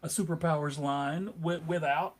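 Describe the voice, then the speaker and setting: Man, convention panelist. Casual commentary, wry and halting, given to comic asides. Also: fairly high pitch, fairly even intonation. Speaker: panelist at a fan convention discussion.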